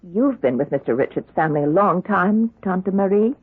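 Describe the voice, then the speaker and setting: An actress as a lively-pitched, inquisitive woman doctor. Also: moderate pace, a little hiss or hum, close to an everyday voice. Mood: probing. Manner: probing, expressive. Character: inquisitive, composed woman doctor, concerned sister. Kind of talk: old-time radio drama acting. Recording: muffled vintage radio recording.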